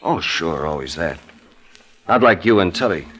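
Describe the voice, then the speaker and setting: An actor playing a dogged, quietly menacing police detective, terse and coolly probing, in an old radio crime drama. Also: male voice, low voice, expressive, moderate pace, husky, heavily acted. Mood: quietly needling. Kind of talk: 1940s-50s radio crime drama acting.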